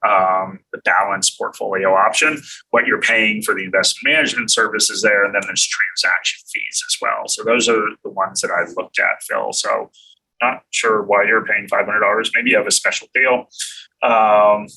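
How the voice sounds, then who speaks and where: American man, bright husky voice, filler-heavy. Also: lowish voice, normal speed, far from the mic, low-quality recording. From United States. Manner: relaxed, thinking aloud with many fillers. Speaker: host answering viewer questions. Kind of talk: casual live-stream finance talk.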